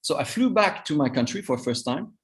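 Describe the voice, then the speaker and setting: A man in his fifties with a French accent, whose first language is Vietnamese, giving a master class as a cross-cultural coach, halting and often repeating his words. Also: fairly high pitch, lively intonation. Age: 50s. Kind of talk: live online master class talk.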